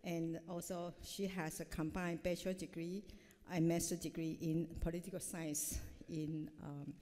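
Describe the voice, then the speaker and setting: A woman's voice: lowish voice, little pitch movement, measured pace, dark tone. A middle-aged woman professor giving a formal introduction from notes at a podium mic in a large hall, with frequent hesitations. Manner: reads from notes with frequent uh fillers. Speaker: professor introducing a keynote speaker. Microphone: podium microphone. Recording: long hall reverb.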